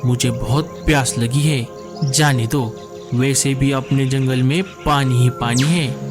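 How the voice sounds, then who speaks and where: A man's voice: mid-range voice, steady tone, takes it slowly, music in the background, lightly acted. A man voicing an earnest, fretful male forest bird in a cartoon, exclaiming and thinking aloud. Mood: relieved. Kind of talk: Hindi cartoon dialogue acting.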